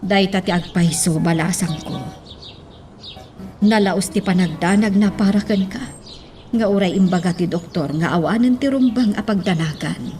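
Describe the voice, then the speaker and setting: A woman's voice: on the low side, normal speed, darkish tone, lightly acted. A woman playing a caring, reassuring mother in a radio drama, with an Ilocano accent. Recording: faint birdsong and background noise.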